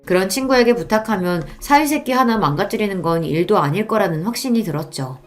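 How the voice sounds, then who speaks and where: A woman's voice: medium pitch, animated, brisk, soft background music. A woman reading a scripted first-person story in a dramatic radio-story style. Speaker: story narrator.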